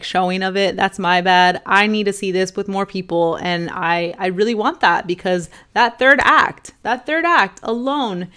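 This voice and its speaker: Chatty young woman, smooth voice. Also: medium pitch. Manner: enthusiastic, rambling, many "like" fillers and restarts. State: slightly hoarse. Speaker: film vlogger ranking movies. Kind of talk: casual to-camera review chat.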